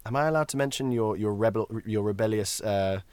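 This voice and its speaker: A man with a fairly low voice, recorded close and dry. He talks like a podcast guest, rambling through anecdotes and advice, joking and cutting into his own sentences. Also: brisk, darkish tone, smooth, no echo. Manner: rambling, self-interrupting, jokey. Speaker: guest, voice actor and voiceover tutor. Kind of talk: chatty podcast interview, anecdotes and career advice.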